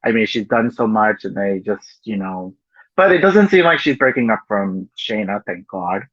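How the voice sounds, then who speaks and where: A man's voice: on the low side, very animated, moderate pace, fairly bright tone, no room sound, dull audio. A man co-hosting a podcast, talking loosely and chattily, full of "you know" and "like". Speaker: podcast co-host. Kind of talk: casual chat.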